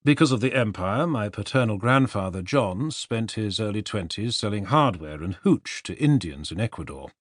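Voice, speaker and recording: Male narrator for serious non-fiction audiobook. Articulate, emphatic, animated melody, very dry close studio sound. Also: fairly low pitch, normal speed, few pauses, no echo.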